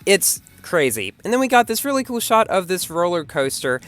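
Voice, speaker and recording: A man's voice: sits high, very animated, normal speed, a bit bright. A young man recovering from a cold, giving enthusiastic, chatty commentary over video game footage as a channel host. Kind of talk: gameplay commentary.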